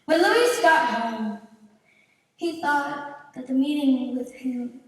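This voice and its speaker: A woman playing a ghostly storyteller, narrating a dark folk legend on stage with dramatic delivery. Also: sits high, animated, takes it slowly. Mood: ominous. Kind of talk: scripted theatrical narration. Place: large indoor theater stage, distant camera. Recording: echoey theater stage from far off.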